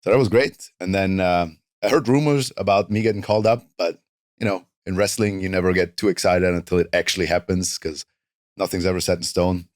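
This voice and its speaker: Low-voiced guy from northern italy with a south tyrolean accent, german dialect is his first language, chill rambly interview chat. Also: fairly quick, no room sound, clean studio sound. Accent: South Tyrolean German-Italian. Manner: relaxed, rambling, heavy use of "like". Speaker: interview guest, professional wrestler. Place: studio.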